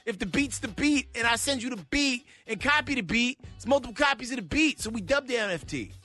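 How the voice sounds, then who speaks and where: An American man hosting a radio show, telling news stories in an animated, slangy, conversational way. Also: very high voice, brisk. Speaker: radio show host presenting hip-hop news. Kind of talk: casual radio news chat. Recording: music playing faintly behind the voice.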